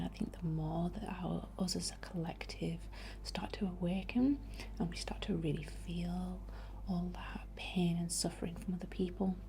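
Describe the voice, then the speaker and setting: A woman speaking in a soft, breathy whisper, gently guiding a soothing, unhurried ASMR healing ritual. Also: medium pitch. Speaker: ASMR host. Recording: faint background noise.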